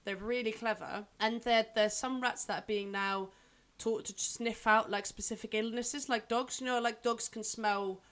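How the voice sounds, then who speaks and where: A woman's voice: fairly high pitch, steady tone, moderate pace, fairly bright tone. A young woman in casual conversation, talking loosely with lots of fillers and restarts. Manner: chatty, full of "like" fillers and restarts. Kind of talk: casual chat.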